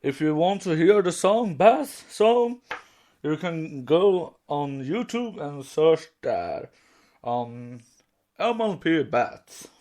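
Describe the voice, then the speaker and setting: A man hosting a podcast, talking casually in a halting, hesitant way. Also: on the high side, expressive, a little mellow. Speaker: podcast host.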